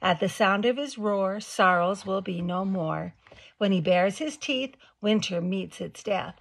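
A woman's voice: mid-range voice, measured pace, vocal fry. A woman voicing a male talking beaver, gloomy at times and hearty at others, with a folksy, homespun way of speaking.

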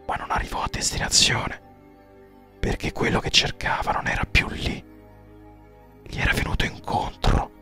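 A man narrating a horror story in a hushed, breathy, suspenseful and theatrical voice with measured pauses. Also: sits high. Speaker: audiobook narrator.

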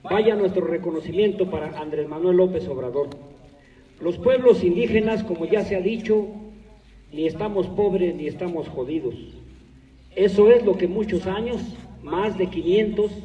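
A man's voice: sits high, highs cut off. Man orating outdoors, echo behind him. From Mexico. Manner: oratorical, rising to emphatic declarations. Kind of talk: outdoor ceremonial speech. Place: large open courtyard of a hacienda, outdoors. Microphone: far camera, no close mic. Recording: echoey distant outdoor phone video.

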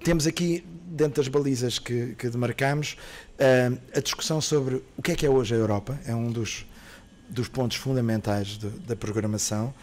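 A middle-aged man speaks at a podium outdoors in a park. His voice sits at an ordinary pitch, and he talks in an animated way. He stops and restarts often, with plenty of fillers. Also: normal speed. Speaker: festival programmer. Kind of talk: outdoor press presentation. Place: outdoor park, under trees. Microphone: podium microphone.

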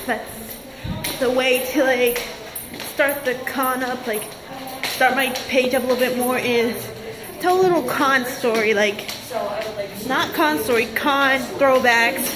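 A young woman chatting casually to her phone as she vlogs indoors, in a halting, rambling way that often repeats itself. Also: sits high, music in the background. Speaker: convention vlogger. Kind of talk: casual handheld vlog chat. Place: hotel corridors, elevator and lobby, indoors. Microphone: phone at arm's length. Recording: noisy handheld phone recording.

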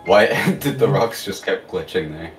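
A man's voice: sits low, normal speed, faint music behind. A young man chatting casually with a friend while they play a video game together. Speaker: gamer playing co-op on a shared controller. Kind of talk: casual gameplay chat. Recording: distant mic, clearly noisy recording.

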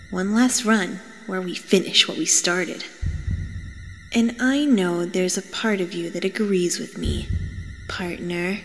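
A woman voicing a sinister, mocking demonic child in an animated comic dub, taunting with drawn-out menace. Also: on the low side, a little hiss or hum. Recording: long reverb over music.

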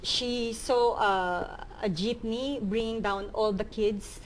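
A woman's voice: a little high, steady tone, slow pace, a little hiss or hum, low-quality recording. A woman in her thirties with a Filipino accent, answering conversationally as a guest in a TV studio interview. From Philippines. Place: small TV studio set. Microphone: lapel mic.

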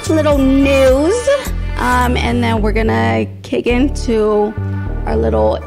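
A woman's voice: on the high side, slow, gravelly. A young woman hosting a podcast, introducing the show in a casual, chatty style on a close microphone. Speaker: podcast host. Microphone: podcast microphone on boom arm. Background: music.

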